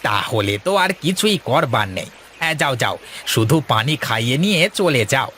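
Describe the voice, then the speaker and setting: A man's voice: medium pitch, big pitch swings, moderate pace, bright, forceful tone, slight background noise. A man voicing a friendly village neighbour who hands out advice in a moral-story cartoon.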